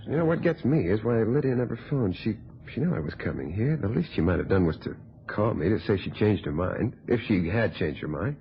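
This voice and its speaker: A man's voice: lowish voice, animated, a little fast, creaky, a little hiss or hum. An actor playing a puzzled, somewhat exasperated male visitor in a radio thriller, musing and hesitant. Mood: puzzled, put out. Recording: muffled, dull old radio drama recording.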